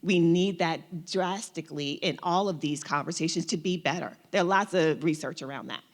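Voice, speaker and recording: A woman's voice: on the low side, normal speed. A woman panelist giving earnest, reflective advice, often repeating words as she goes. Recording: reverberant hall.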